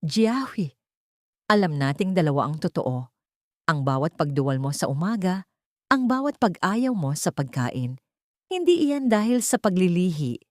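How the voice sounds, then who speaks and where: An icy, scornful wife played by a woman narrator in an audio drama, in a lower female register. Her pitch moves widely as she delivers bitter, vengeful lines, and the sound is close and dry. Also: sing-song, unhurried, no room sound. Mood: cold, mocking. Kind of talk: dramatic audio story dialogue.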